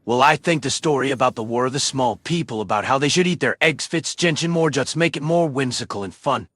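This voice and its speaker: Husky guy just rambling ideas at the host, dry close mic. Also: mid-range voice, brisk, fairly bright tone, no echo. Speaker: chat participant. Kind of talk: casual chat.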